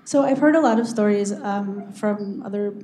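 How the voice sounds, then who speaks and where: A woman with a mellow, soft tone answers on a panel, pausing often with ums as she gathers her thoughts. Her speech feels unhurried and a little halting. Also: mid-range voice, a little hiss or hum. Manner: hesitant, many ums. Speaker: panelist, engineer.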